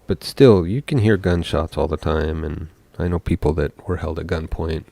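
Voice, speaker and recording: Low gravelly man thinking aloud. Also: moderate pace, mellow, no room sound. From Seattle, USA. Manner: thinks aloud, trailing off with many ums. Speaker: game developer streaming live. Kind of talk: casual live-coding talk.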